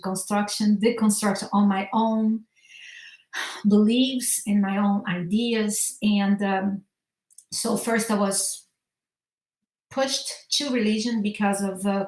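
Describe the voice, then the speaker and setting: A woman's voice: medium pitch, roomy sound, poor audio. A woman from Brazil with a Brazilian Portuguese accent, a guest sharing her faith story earnestly, sometimes restarting her sentences. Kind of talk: personal testimony in conversation.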